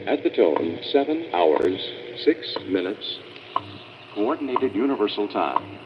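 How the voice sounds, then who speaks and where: A man with a fairly low voice makes formal time announcements with a lot of pitch movement. He is heard through muffled shortwave radio with clear static. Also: big pitch swings, takes it slowly.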